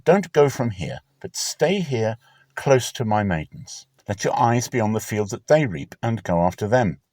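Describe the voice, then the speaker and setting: A man playing a kindly, authoritative landowner of ancient times, speaking in a measured, fatherly, formal way. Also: mid-range voice, darkish tone, no echo, lightly acted.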